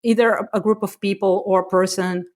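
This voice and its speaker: A woman from Brazil, a native Portuguese speaker, co-hosting a podcast in casual, animated conversation and laughing often. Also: mid-range voice, normal speed. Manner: animated, laughs often, self-mocking asides. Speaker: podcast co-host.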